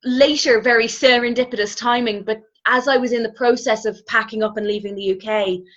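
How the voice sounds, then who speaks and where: A woman in her twenties with an Irish accent, a guest telling her story in a relaxed, reflective way and often hedging with "kind of". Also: fairly high pitch, brisk. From Ireland. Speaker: interview guest. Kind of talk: casual conversational storytelling. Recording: slightly dull, muffled audio.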